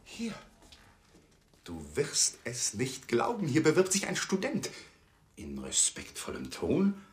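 An actor playing an embittered, excitable young lawyer in a radio drama, tense and quick to turn defensive. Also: male voice, medium pitch. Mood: excited. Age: young adult.

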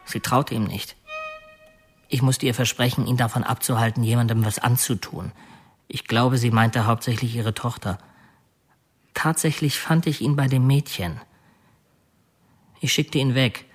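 An actor playing a brooding male killer who confesses matter-of-factly and haltingly, as in a radio drama. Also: medium pitch, husky, close to an everyday voice. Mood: matter-of-fact, reflective. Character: confessing male killer, bitter, brooding, oddly soft-hearted. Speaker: actor narrating as a murderer.

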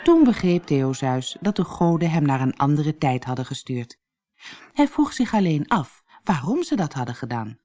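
Expressive, animated fairy-tale narrator with mellow tone. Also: medium pitch. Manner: expressive, dramatic storytelling. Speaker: storyteller, audiobook narrator.

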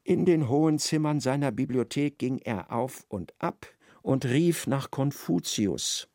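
A man narrating a literary radio drama in a measured, expressive way. His voice has some gravel and a lively melody. The sound is dry and close. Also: mid-range voice, no room sound.

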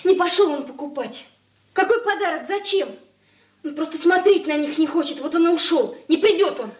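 Very high-voiced, listless young girl. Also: moderate pace. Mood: despondent. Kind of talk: Soviet radio play acting. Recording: roomy, distant from the microphone.

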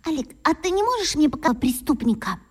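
A woman's voice: sits high, sing-song, fairly quick. A woman playing a naive, wide-eyed little schoolgirl in a children's radio drama, speaking in exclamations and eager questions. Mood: pleading.

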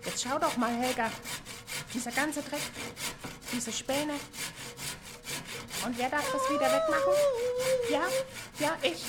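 A woman's voice: high voice, lively intonation, a little slow, pauses often. A woman playing a nagging, exasperated housewife who whines and scolds in an amateur comic radio play. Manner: whiny, scolding, exaggerated. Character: nagging, exasperated housewife complaining about the mess. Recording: noisy, with sawing and rasping.

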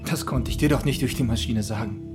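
A man's voice: medium pitch, fast talker, close to an everyday voice. Brief: man as a rebellious young son in a radio play, music under him. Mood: defiant. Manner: defiant, earnest. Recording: music behind.

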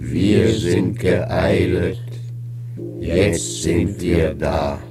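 A deep, droning peasant chorister. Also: male voice, extremely slow. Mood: solemn. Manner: chanting, monotone, solemn. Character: solemn, gullible chorus of poor farmers. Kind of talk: chanted German classical comedy radio play. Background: music.

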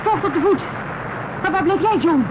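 An alert female astronaut in a radio play. She calls out high, clipped and urgent, muffled under loud spacecraft noise. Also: moderate pace. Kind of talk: urgent radio drama acting.

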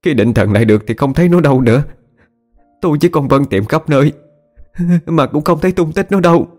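A man's voice: fairly high pitch, fairly quick, darkish tone, faint music behind, pitched above the performer's own voice, close to an everyday voice. A man voicing a frightened, wailing middle-aged wife, pleading and stammering in an audio horror story. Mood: distressed, explaining.

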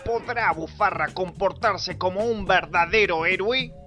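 An actor playing a grandiose narrator of a mock-heroic police serial, melodramatic, with an Argentine accent, in old radio style. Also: male voice, high-pitched, unhurried, sharp tone. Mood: excited announcing. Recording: music behind the voice.

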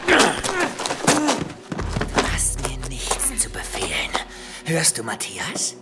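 A man's voice: medium pitch, wildly swooping pitch, loud music behind the voice, exaggerated voice. An actor in a German audio drama playing a deranged, murderous man who hears voices, taunting and slipping into whispered menace. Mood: mad, threatening. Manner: mocking, whispering menace, drawn-out words.

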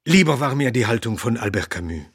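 An actor playing an elderly doctor and philosopher in a radio play, lecturing earnestly and growing indignant when challenged. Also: male voice, mid-range voice, big pitch swings, fairly quick, husky, no echo, studio quality. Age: older. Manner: earnest, lecturing, quotes his own writings. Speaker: interviewee.

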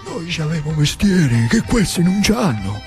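An actor playing a fiery working-class uncle, a factory worker railing against the bosses in emphatic, repetitive dialect with a central Italian accent from northern Lazio. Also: male voice, on the high side, sing-song, measured pace, darkish tone. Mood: defiant. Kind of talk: theatrical monologue in rustic dialect. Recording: music behind the voice.

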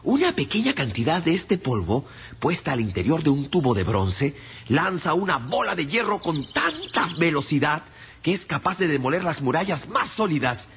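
Casting: deferential, eager male traveler for radio drama, high voice, lively swings, faint music. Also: moderate pace, highs cut off, slight background noise. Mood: eager, boastful. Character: eager, deferential little traveler pleading before royalty. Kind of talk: theatrical radio drama acting.

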